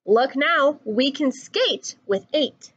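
High-pitched, animated young woman reading aloud. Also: slow, lo-fi sound. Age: young adult. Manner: animated, singsong rhyming delivery. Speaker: children's story reader. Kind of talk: expressive picture book read-aloud.

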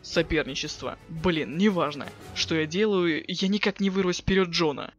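A man voicing a cool, sarcastic teenage boy with offhand deadpan delivery in a webcomic dub. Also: fairly high pitch, normal speed, lo-fi sound, faint music behind, lightly acted. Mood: sardonic. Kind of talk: fan dub of a webcomic chat.

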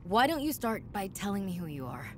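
An actress playing a wry, sardonic human explorer in a video game, with a conversational, sarcastic manner. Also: female voice, medium pitch, very animated, brisk, background noise, natural voice. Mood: sarcastic, incredulous. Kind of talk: scripted video game dialogue.

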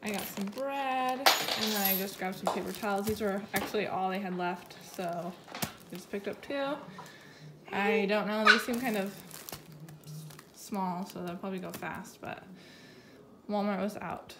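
Casual mom vlogger, thirties, easygoing chatty tone, mid-pitched voice, phone-recorded kitchen with heavy noise. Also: female voice, unhurried. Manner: relaxed, chatty listing with fillers. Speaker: mother filming a grocery haul. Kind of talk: casual grocery haul narration. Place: home kitchen, indoors. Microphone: phone camera held over counter. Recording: noisy home phone recording. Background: loud background noise, kids talking.

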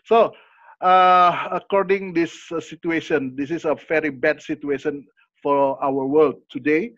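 Male host on headset, high-ish voice, halting English, dry dull audio. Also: steady tone, fairly bright tone, dry recording. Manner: halting non-native English, frequent fillers and encouraging backchannels. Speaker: interview host. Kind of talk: video call interview.

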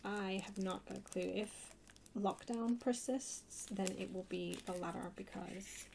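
A soft-spoken woman chatting casually in a rambling way for an ASMR haul video. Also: mid-range voice, steady tone, unhurried, low-quality recording. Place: indoors at a table, hands-only camera. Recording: clear background hiss or noise.

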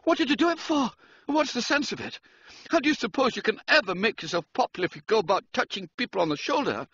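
A man playing a frantic, high-strung young gentleman. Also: lively intonation, fast, breathy edge, no echo, lo-fi sound, heavily acted. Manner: frantic, rambling and breathless. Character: panicky young gentleman, nervous wreck.

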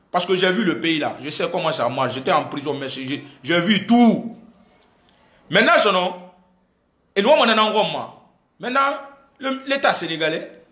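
A man's voice: a little high, sharp tone. Emphatic Senegalese man on a phone. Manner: emphatic, repetitive, switching between French and a local language, gesturing. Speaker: activist addressing followers. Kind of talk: impassioned selfie video statement. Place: indoor room with air conditioner. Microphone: phone at arm's length. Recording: echoey room on a phone.

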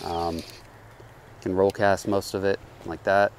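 A man's voice: low voice, unhurried, slight background noise. A man vlogging while fly fishing on a riverbank, chatting casually to viewers and explaining his casting. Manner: relaxed, chatty, addresses viewers as guys. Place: outdoors on a riverbank. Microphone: head-mounted action camera.

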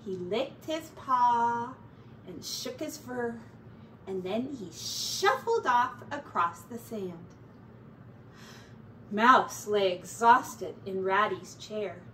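A middle-aged woman narrates for children with an animated, deliberate delivery. Her pitch is high and varies widely. She is recorded indoors, a little away from the mic. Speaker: teacher reading aloud. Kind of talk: expressive children's storybook narration. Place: small room at home, indoors. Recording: roomy, distant mic, faint noise.

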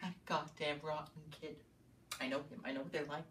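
Male actor as hot-tempered juror, combative stage monologue, anguished, sharp forceful voice, echoey room, distant mic. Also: on the high side, fairly even intonation, brisk, lightly acted. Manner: combative, agitated, stammering outbursts. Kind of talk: theatrical dramatic monologue.

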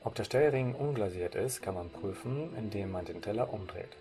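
A man's voice: fairly low pitch, expressive, moderate pace, warm tone, soft background music. A man from Germany presenting, reading scripted narration for a slide presentation in a formal way. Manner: formal, read-out delivery.

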